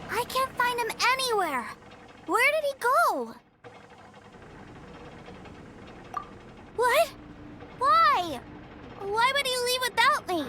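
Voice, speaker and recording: Woman voicing a cheerful little boy, childlike, very high, lively, game music. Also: slow, very noisy, full character voice. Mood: puzzled. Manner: excited, childlike, wide-eyed. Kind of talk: video game voice acting.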